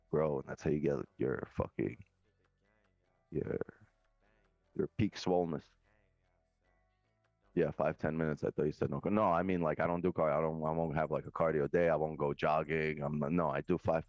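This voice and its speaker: Cocky male co-host, extremely low voice, dry dull lo-fi sound, lots of swearing. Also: a little mellow, dry recording. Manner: brash, cocky, heavy swearing. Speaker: co-host on a live trading stream. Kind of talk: casual banter.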